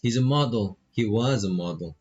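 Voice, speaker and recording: Husky, low-pitched Filipino man streaming a game. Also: moderate pace, lo-fi sound. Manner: playful, excitable asides. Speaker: streamer playing a visual novel. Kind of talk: game commentary mixed with casual read-aloud of dialogue. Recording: music behind the voice.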